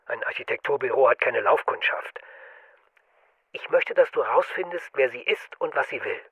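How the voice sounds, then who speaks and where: A man's voice: medium pitch, animated, moderate pace, slightly rough, narrow, tinny audio. An actor playing a domineering, suspicious male boss in a radio drama, curt and commanding. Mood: suspicious, irritated.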